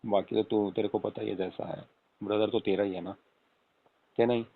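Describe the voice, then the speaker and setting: A man's voice: on the low side, fairly even intonation, normal speed, creaky. A man playing an affectionate young husband on a casual late-night call, coaxing and often asking for agreement. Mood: gently advising. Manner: coaxing, repeatedly seeking agreement with tag questions. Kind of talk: acted casual phone conversation with spouse. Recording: tinny phone-call audio with room echo.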